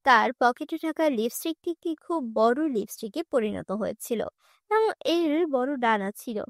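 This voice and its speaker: A woman's voice: on the high side, lively intonation, normal speed, dry recording, studio quality. A woman reading scripted narration for a children's fairy tale.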